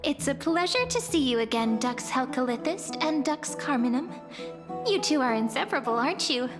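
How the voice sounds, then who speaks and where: A woman's voice: high voice, lively intonation, normal speed. A woman voicing a polite, wary, thoughtful young companion in a video game, careful and earnest. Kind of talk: video game character acting. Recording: long reverb over game music.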